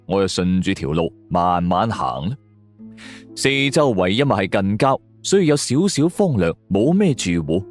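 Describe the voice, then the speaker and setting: A man narrating a scripted suspense story in a dramatic way. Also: fairly low pitch. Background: background music.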